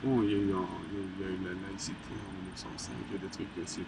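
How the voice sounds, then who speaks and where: A young man from Colombia, an interviewee, talking casually in halting phrases full of restarts and fillers. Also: deep voice, flat delivery. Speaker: graffiti artist, interviewee. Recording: distant mic with background noise.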